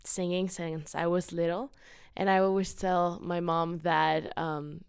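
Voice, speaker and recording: A woman's voice: on the low side, flat delivery, measured pace, gravelly, clean tone, no room sound, studio quality. A woman in her late teens from Mexico, giving enthusiastic, earnest, casual answers as an interview guest. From Cancun, Mexico. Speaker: podcast guest, student singer-songwriter.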